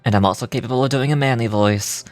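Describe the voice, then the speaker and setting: A woman's voice: a bass voice, fast, darkish tone, no room sound, faint music behind, voice pushed down. A woman voicing a plain, matter-of-fact man in casual livestream talk.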